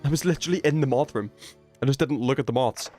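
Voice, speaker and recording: A man's voice: medium pitch, fast, mellow, faint music behind. A man chatting casually on a game livestream, thinking aloud, questioning himself and trailing off in informal, slangy speech. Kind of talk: casual livestream gameplay chat.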